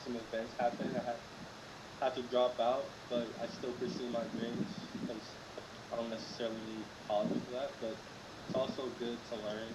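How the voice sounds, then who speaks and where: A man's voice: medium pitch, flat delivery, normal speed. A young man from Los Angeles, a podcast guest, answering in a laid-back way with short, agreeable replies. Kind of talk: casual interview chat. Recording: echoey room with noticeable noise.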